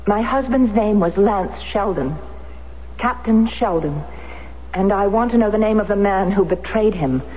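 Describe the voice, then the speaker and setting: An actress playing a war widow who is determined to learn the truth, speaking in an earnest, urgent way. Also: female voice, medium pitch, unhurried, darkish tone, close to an everyday voice. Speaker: lead actress in a radio drama. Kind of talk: 1970s radio drama acting. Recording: dull old radio recording, faint hiss.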